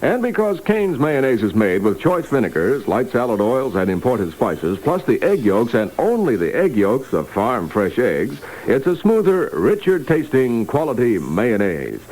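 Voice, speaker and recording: A man's voice: medium pitch, big pitch swings, measured pace, hardly any pauses, a little mellow, rough recording. A man reading scripted copy as a radio announcer in a polished, formal 1960s newscast style. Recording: 1960s AM radio aircheck, hum and hiss.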